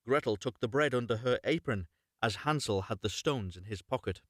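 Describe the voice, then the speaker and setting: A man narrating a storybook live and unrehearsed, in a measured storytelling manner with occasional stumbles. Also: medium pitch, lively intonation, fairly bright tone, no room sound, clean studio sound. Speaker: storyteller, voice-over reader.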